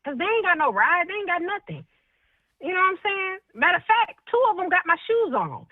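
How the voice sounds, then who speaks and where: A woman guest in playful, teasing banter, with a high voice that swings widely. The sound is thin and phone-like, dry with no room around it. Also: sing-song, a little fast, dry recording. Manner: playful, teasing, mock-preachy. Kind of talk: casual banter. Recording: dry, tinny phone-like line.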